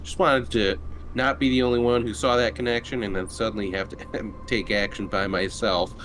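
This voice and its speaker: A man's voice: lowish voice, fairly even intonation, moderate pace, a bit bright. A man taking part in a tabletop roleplaying game as a player, chatting casually in and out of character. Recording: faint background noise.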